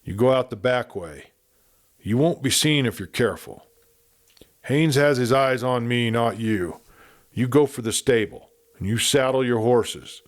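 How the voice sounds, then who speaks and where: A man's voice: medium pitch, unhurried, natural voice. A man voicing a bold young outlaw who talks in an easy, teasing way. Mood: low, urgent.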